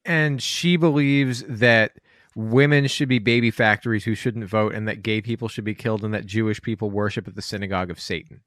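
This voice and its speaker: A man's voice: lowish voice, steady tone, fairly quick, fairly bright tone, no room sound, clean studio sound. A man co-hosting a podcast, joking around with sarcastic asides. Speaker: podcast co-host. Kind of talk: casual podcast chat.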